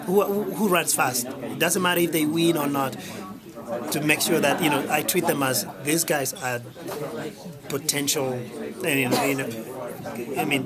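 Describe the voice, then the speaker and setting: A man in his late thirties, an athlete being interviewed, talking earnestly and rambling with many fillers. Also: fairly high pitch. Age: 30s. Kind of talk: casual interview. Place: outdoor event before a race. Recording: loud crowd noise behind.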